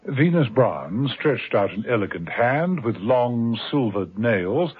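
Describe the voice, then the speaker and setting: A man narrating a radio drama in a measured, vivid storytelling manner. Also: medium pitch, very animated, husky. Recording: tinny old radio broadcast.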